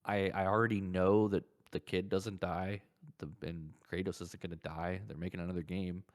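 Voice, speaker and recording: Casting: male podcast co-host, low-pitched voice, blunt and informal, comfortable cursing in loose gaming chat. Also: level tone, normal speed, no room sound, clean studio sound. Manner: blunt, casual swearing.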